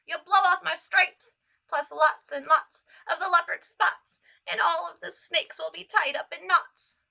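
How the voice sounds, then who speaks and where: A woman's voice: extremely high pitch, fairly even intonation, unhurried, vocal fry, sharp tone, voice pushed up, exaggerated voice, rough recording. A woman voicing an alarmed, complaining zebra with an emphatic yelp in a storybook. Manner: yelping, emphatic.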